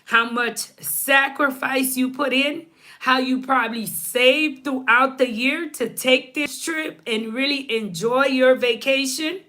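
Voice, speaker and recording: Female live-stream host from Haiti, high-pitched and slow-paced, conversational opinion delivery with emphatic repetition. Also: pushed and bright. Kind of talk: casual opinion talk to viewers.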